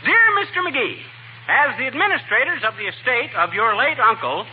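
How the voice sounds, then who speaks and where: An actor playing a boastful, excitable small-town American husband in a folksy, blustering 1940s radio comedy style. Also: male voice, extremely high pitch, moderate pace. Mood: triumphant. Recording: muffled, noisy 1940s radio broadcast.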